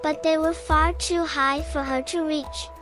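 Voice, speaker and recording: A woman narrates a bedtime story in a high voice. She takes her time over the scripted lines, with music clearly playing behind her. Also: steady tone, a little slow.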